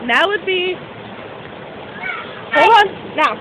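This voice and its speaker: A woman's voice: very high voice, expressive, slow pace. A woman filming her children playing in the rain, coaxing and playful as she calls out to them. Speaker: parent filming kids. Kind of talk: casual home video narration. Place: outdoors in the rain. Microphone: handheld camera. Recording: loud rain and outdoor noise.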